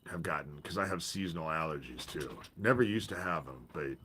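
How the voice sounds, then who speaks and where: A man hosting a casual livestream from his back porch, with a deep voice and a stuffy head cold, rambling with pauses and restarts. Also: animated, bright, forceful tone, a little hiss or hum. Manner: rambling, pauses, self-interrupting. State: congested from a cold. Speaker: livestream host.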